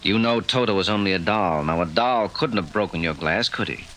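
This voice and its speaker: A man's voice: low-pitched, brisk, fairly bright tone. An actor playing a stern, middle-aged American father in a 1950s radio drama, an office man easily given to disbelief. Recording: noisy vintage 1950s radio recording.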